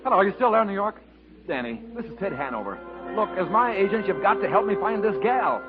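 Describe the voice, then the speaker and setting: A man's voice: high voice, big pitch swings, fast. An actor playing an eager, scheming showman in a 1950s radio musical comedy. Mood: pleading, excited. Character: scheming, eager showman pursuing a dancing partner. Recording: muffled, narrow-band 1950s radio recording with music.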